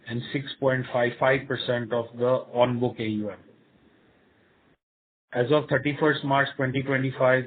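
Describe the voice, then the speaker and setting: A man with an Indian accent speaking as a company executive on an earnings call, in a formal, measured manner. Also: medium pitch, a little hiss or hum. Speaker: company executive presenting results. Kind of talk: earnings call presentation and answers. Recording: muffled, narrow phone conference line.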